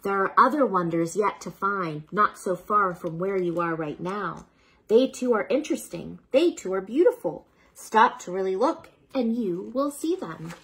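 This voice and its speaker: A woman reading a children's bedtime story aloud in an unhurried, expressive way, as if speaking to a child, with a slightly husky voice. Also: mid-range voice, low-quality recording.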